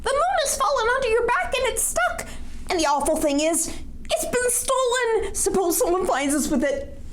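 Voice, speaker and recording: A woman's voice: very high voice, big pitch swings, normal speed, slight background noise, pitched above the performer's own voice. A woman voicing a worried little male squirrel from a picture book, anxious and dramatic. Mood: worried, urgent.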